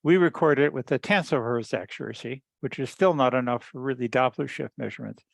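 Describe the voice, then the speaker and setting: A man giving an unscripted technical explanation on a group call, halting, repeating words and often clearing his throat. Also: medium pitch, no echo. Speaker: engineer presenting research at a teleconference.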